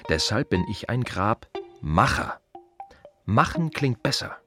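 An actor playing a plain-spoken, reflective gravedigger in a radio monologue, musing in short, colloquial, repeated fragments. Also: male voice, on the low side, sing-song, slow, a bit bright, soft background music, heavily acted. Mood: wry. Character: plain-spoken, reflective male city gravedigger at peace with work.

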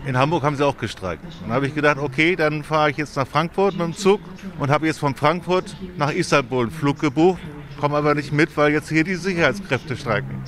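A man's voice: on the high side, brisk, a crowd in the background. Resigned stranded male traveler. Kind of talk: vox pop interview. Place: airport departure hall.

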